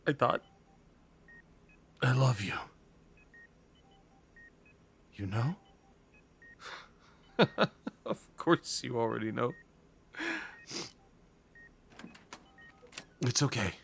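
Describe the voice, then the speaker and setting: Male actor as devoted crime boss, ASMR roleplay. Mid-pitched, unhurried, halting delivery, raw and intimate. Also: animated, creaky, fairly bright tone, breathy edge, full character voice. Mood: relieved, overwhelmed. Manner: slow, halting, emotional, pleading delivery. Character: devoted, desperate crime boss at his lover's hospital bedside.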